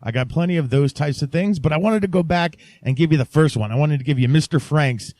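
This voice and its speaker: A man's voice: a little high, brisk, a little mellow, no echo. Chatty man hosting a podcast, dry sound. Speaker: podcast host. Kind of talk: casual podcast chat addressed to listeners.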